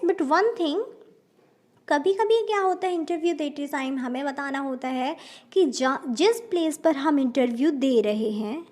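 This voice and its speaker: A woman from India teaching spoken English in Hindi, slow and careful, often calling her viewers friends and mixing in English words. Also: sits high, darkish tone.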